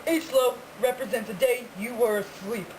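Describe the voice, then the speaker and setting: A man's voice: very high voice, moderate pace, darkish tone, slight background noise, poor audio. A teenage boy voicing a bossy old male sage in a homemade puppet show. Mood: commanding. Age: teens. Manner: bossy, commanding.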